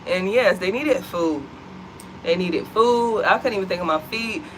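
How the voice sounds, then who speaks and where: An American woman talks with her viewers from her couch at home, animated and chatty, warmly calling them y'all. Her voice sits in a middle pitch. A faint hiss runs under the recording. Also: unhurried, rough recording. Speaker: vlog co-host. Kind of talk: casual chat to viewers. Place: at home, seated.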